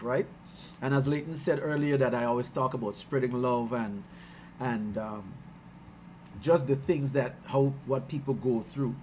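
A middle-aged man from Guyana, a musician talking casually on a video call, warm and chatty, laughing often and getting excited as he tells stories. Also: medium pitch, a little hiss or hum. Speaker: musician hosting his album release stream. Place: small room at home. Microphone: headset on a video call.

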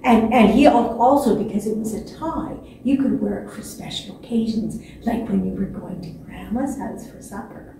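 A woman in her sixties telling a children's story expressively, with dramatic pauses and repetition. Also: a little high. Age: 60s. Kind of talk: animated children's storytelling from memory. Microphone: distant camera microphone. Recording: echoey stage, distant mic, faint noise.

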